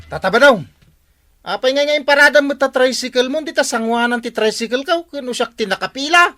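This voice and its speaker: An excitable nosy ilocano villager, sharp bright voice, swoops all over, radio comedy. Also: sits high, fairly quick, faint music behind. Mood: scolding. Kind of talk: comedic radio drama acting.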